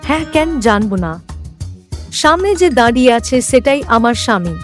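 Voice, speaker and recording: Woman playing a high-voiced, suspicious young wife. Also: sing-song, normal speed. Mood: firm. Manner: questioning, emphatic. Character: confused, firm young village wife. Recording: music under the voice.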